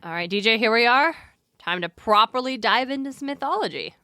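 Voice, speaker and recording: A woman's voice: fairly high pitch, expressive, a little fast, sharp tone, no room sound, studio quality, faint music behind. A woman hosting a podcast in casual, chatty banter, with an informal, wry way of talking. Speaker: podcast host.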